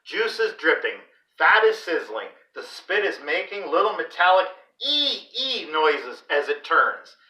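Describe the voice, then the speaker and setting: A man reading a children's story aloud in an expressive, deliberate, dramatic way. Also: fairly high pitch. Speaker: read-aloud host for a school class. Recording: roomy echo, distant microphone.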